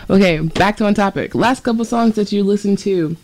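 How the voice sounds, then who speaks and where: A woman's voice: medium pitch, a little fast, darkish tone, slight background noise. A woman about twenty with a southern accent, co-hosting a college radio show in casual, giggly chat full of slang. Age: 20s. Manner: chatty, giggly, loose slang. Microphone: studio microphone.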